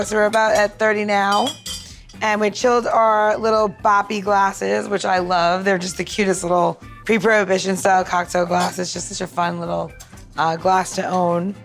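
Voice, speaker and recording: A woman hosting a podcast, chatting informally as she talks through mixing a drink, often checking in with the listener. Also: medium pitch. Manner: chatty, informal, frequent "like" and "right?". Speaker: podcast host and bartender. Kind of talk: casual chat, talking through a cocktail demonstration.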